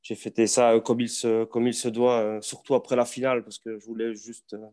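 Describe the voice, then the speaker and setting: A man's voice: mid-range voice, fairly quick. Man with a Belgian French accent. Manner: relaxed, rambling with many restarts. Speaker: football journalist. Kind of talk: casual video call chat.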